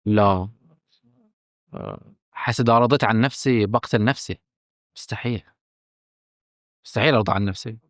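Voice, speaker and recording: A man with a Bahraini accent, a film director speaking candidly as a podcast guest, emphatic and earnest, often pausing on fillers and restarting. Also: on the low side, dry recording, studio quality. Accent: Bahraini Arabic. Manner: earnest and emphatic, stressing his frankness, with hesitant fillers and restarts.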